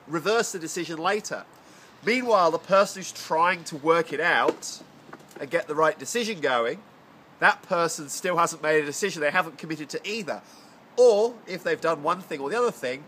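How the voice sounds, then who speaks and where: A man's voice: fairly high pitch, bright, forceful tone, a little hiss or hum. A man with a cold and a congested voice, chatting casually to camera about a book, rambling with fillers and restarts. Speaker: vlogger reviewing a self-help book. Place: home garden area.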